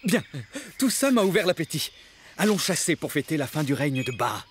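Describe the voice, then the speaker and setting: A lively, polite forest hermit. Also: male voice, on the high side, moderate pace, slight background noise. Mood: calm, earnest. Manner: polite, formal, sententious. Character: eccentric, polite middle-aged ex-banker living as forest hermit. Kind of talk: animated series dialogue. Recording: music behind the voice.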